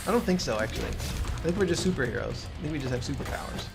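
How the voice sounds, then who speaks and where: A man's voice: medium pitch, lively intonation, very fast, gravelly. A man giving casual, analytical commentary on a game as he plays, explaining things as he goes. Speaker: gaming commentator. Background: game music.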